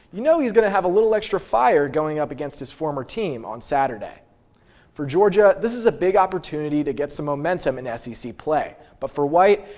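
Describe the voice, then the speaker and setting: A man's voice: on the high side, darkish tone. A young man giving scripted sports analysis on a student news show, with a confident, opinionated delivery. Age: young adult.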